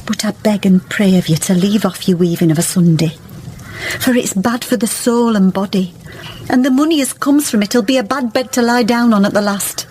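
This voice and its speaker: A woman's voice: mid-range voice, normal speed, darkish tone, a little hiss or hum, natural voice. A woman playing a kindly, pious village wife in a radio drama, chatty and earnest, with a rural English accent. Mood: earnest, coaxing. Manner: chatty, homely, earnestly persuasive.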